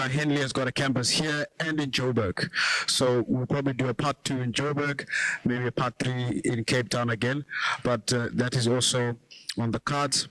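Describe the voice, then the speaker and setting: Brief: man, middle-aged, from South Africa, panel host closing out the event, casual and unhurried. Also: medium pitch, no echo, low-quality recording. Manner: relaxed, loose with ums and uhs. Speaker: panel moderator. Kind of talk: event wrap-up announcements. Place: small conference room with audience. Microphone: handheld microphone.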